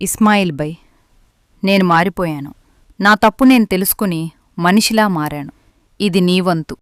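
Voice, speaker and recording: A woman's voice: lowish voice, normal speed, no echo, studio quality, close to an everyday voice. Woman acting an earnest, pleading cartoon mother. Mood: firm, earnest. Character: remorseful, doting mother released from prison. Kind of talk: melodramatic Telugu moral-story cartoon voice acting.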